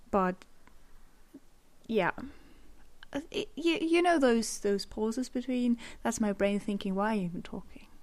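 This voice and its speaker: A woman's voice: medium pitch, a little mellow. A young woman from Germany with a German accent, rambling and laughing as she hosts an unscripted solo podcast from home on a condenser mic. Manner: rambling, self-deprecating, laughs often. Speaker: podcast host. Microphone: USB condenser microphone.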